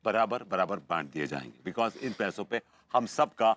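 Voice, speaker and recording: A man's voice: fairly low pitch, lively intonation, moderate pace, a bit bright. An actor playing a bossy, righteous spokesman for a beggar gang in a broad sitcom, mixing in English phrases. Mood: accusing. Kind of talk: broad Hindi sitcom acting.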